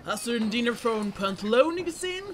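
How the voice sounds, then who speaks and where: A woman's voice: a little high, animated, fairly quick, a little hiss or hum, close to an everyday voice. A woman voicing a stern, scheming male pirate captain in a children's audio play.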